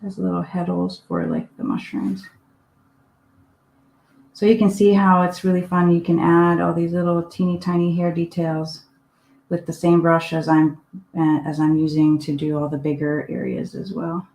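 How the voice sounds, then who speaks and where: Woman from finland chatting thru a painting demo at her desk, kinda low voice, echoey room. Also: level tone, normal speed, vocal fry, a little mellow. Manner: casual, chatty, thinking aloud while painting. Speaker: art teacher. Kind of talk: live painting demonstration. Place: home desk. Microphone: live online class setup. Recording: roomy echo.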